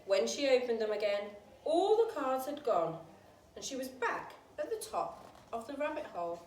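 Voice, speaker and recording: A woman narrates a storybook for young children with lively, swooping intonation. Her voice sits fairly high. She is recorded at a distance in a roomy space. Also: moderate pace. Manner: animated, dramatic reading. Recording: roomy, distant mic with faint noise.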